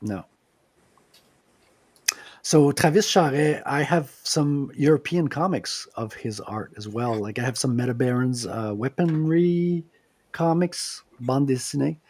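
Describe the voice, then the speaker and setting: A man's voice: mid-range voice, a little slow, darkish tone, husky, no room sound. A man in his late forties from Quebec with a French-Canadian accent, chatting animatedly as a podcast guest and laughing easily. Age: 40s. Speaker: guest comic creator. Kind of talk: casual podcast chat.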